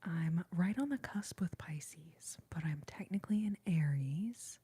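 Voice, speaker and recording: Slow, dark, breathy whispering woman. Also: fairly low pitch, lively intonation. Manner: soft whispering, chatty, reads out viewer comments; giggly and rambling. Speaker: ASMR streamer. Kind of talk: whispered ASMR livestream chat.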